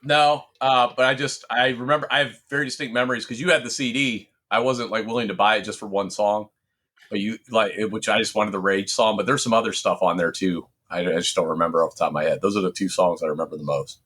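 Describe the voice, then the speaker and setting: Guy podcasting, lowish voice but bright and punchy, rambles through casual geeky chat. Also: fairly even intonation, quick pace. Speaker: podcast co-host. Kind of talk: casual pop-culture chat.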